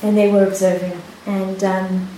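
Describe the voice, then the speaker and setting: A woman whose first language is Hebrew, a meditation teacher telling a story in a calm, unhurried way with many pauses. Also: medium pitch. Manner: soft-spoken, unhurried, with many pauses and restarts. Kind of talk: dharma talk with personal story. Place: retreat hall. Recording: echoey hall, faint hiss.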